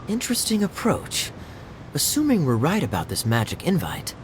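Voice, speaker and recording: A man voicing a suave, playful knight in a video game, with a smooth, formal way of speaking. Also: a little high, wildly swooping pitch, moderate pace, noisy background. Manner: smooth, teasing, formal phrasing. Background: game music.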